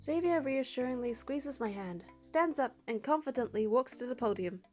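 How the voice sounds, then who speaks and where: A woman's voice: high voice, expressive, moderate pace, darkish tone. A young woman narrating a game playthrough, reading the story and the player character's part in a casual way. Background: music.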